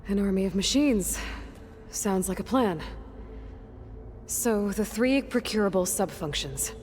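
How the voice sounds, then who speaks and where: A woman's voice: mid-range voice, expressive, a little slow, darkish tone, slight background noise, close to an everyday voice. A woman playing a practical, wry young heroine in a video game, dry and plain-spoken. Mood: wry, determined. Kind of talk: naturalistic video game dialogue. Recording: long hall reverb, faint music.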